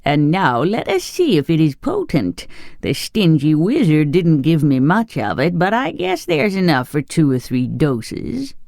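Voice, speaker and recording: A narrator voicing a wicked, scheming old witch woman from a storybook, excitable and theatrical in manner. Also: mid-range voice, unhurried, creaky, dry recording, studio quality, heavily acted. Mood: eager, scheming. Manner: crafty, exclamatory, theatrical.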